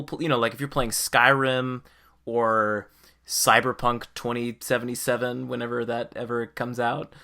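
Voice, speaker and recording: A man with a clearly bright, forceful voice talks casually as a podcast host. His delivery is enthusiastic and emphatic, wandering through his thoughts in a loose, conversational way. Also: mid-range voice. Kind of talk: casual chat about a game.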